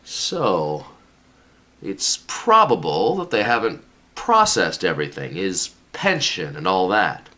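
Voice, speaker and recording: A man playing a scheming, authoritative senior commission boss in a radio sitcom, pompous and deliberate. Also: sits low, wildly swooping pitch, sharp tone, heavily acted. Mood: sly. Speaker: actor in a radio play. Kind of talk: sitcom-style radio comedy acting.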